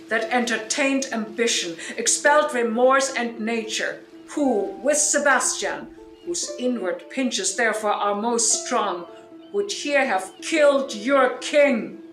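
A woman plays a commanding exiled sorceress in a Shakespearean radio drama. Her voice is bright and forceful, and she declaims slowly and grandly. The sound is roomy, with music behind her. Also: medium pitch. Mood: bitter, accusing. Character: commanding sorceress, grave, wronged exiled duchess, forgiving. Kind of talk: Shakespearean radio play acting. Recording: roomy home recording made online.

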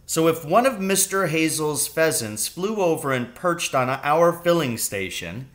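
Man playing a hearty, excitable storybook father. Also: a little high, a little slow, voice pushed up. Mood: emphatic. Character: warm, excitable father, adult man, sharing adventure. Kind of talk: storybook read-aloud.

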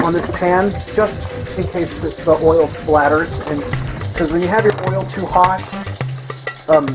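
A young man hosting a casual home cooking tutorial in his kitchen, talking through each step with frequent restarts and self-corrections. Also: on the high side. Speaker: cooking show host.

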